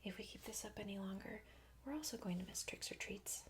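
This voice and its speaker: A woman voicing a bossy, know-it-all cartoon girl in a breathy, soft-spoken ASMR roleplay, close to the microphone. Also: mid-range voice, lively intonation, fairly quick, lightly acted. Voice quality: breathy whisper.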